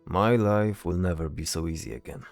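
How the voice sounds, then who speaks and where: Man acting a low, brooding fantasy soldier. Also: moderate pace, gravelly, faint music behind. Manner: hesitant, solemn. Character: brooding, dutiful male soldier, weary and earnest. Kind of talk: fantasy audio drama acting.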